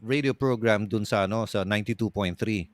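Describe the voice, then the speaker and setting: A man's voice: fairly low pitch, a little fast. A man with a Filipino accent chatting casually, putting in short questions and interjections, on a recording with hiss. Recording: hissy background noise.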